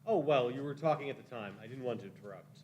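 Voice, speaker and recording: A man's voice: medium pitch, quick pace, darkish tone, lightly acted. A man playing a shifty, cowardly, ingratiating time traveler in a radio play, wheedling and evasive. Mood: pleading. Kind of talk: live radio-play acting.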